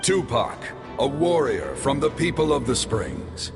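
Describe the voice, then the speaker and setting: An actor playing a wise, battle-scarred ancient warrior in a video game, gravelly, measured and solemn. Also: male voice, mid-range voice, big pitch swings, over music. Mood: solemn approval. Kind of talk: video game character acting.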